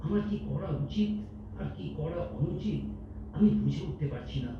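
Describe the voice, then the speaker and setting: A man acting a troubled, soft-hearted managing director in a staged audio drama, speaking emotionally with dramatic pauses. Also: high voice, noisy background. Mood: anxious, torn. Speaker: amateur stage actor in an audio drama competition. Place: multipurpose hall stage. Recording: echoey hall, distant mic, dull sound.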